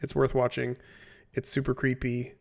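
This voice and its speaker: Rambling man with a gravelly, tinny sound. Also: mid-range voice, monotone, moderate pace. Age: 40s. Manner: rambling, hesitant with many fillers and restarts. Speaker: game developer answering fan questions. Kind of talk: casual livestream Q&A. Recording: tinny phone-like audio.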